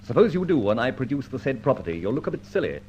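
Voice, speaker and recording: A man with a British accent playing a cheeky, unafraid gentleman adventurer, flippant and clipped, in an old-time radio detective drama. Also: medium pitch, very animated, quick pace, lightly acted. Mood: mocking. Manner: flippant, clipped upper-class banter. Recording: muffled old radio recording, faint noise.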